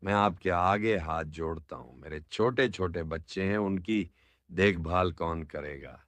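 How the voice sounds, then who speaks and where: A man's voice: low voice, unhurried, fairly bright tone, no room sound. A man playing an earnest, imploring captive who wants peace, in a cartoon story. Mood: frightened pleading. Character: pleading, peace-minded family man held captive. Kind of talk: cartoon moral story dialogue.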